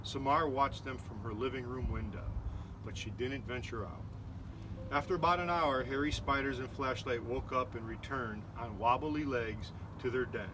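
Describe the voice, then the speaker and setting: A man reads a children's book aloud with expression. His voice sits at a middle pitch. The recording rings with long reverb and has clear noise behind it. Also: moderate pace. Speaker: volunteer story reader. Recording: echoey, reverberant room with noticeable noise.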